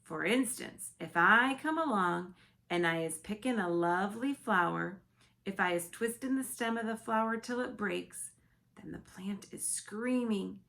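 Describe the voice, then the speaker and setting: A woman voicing a gentle, big-eared male giant who talks earnestly in mixed-up, ungrammatical words. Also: mid-range voice, a little slow, gravelly, rough recording.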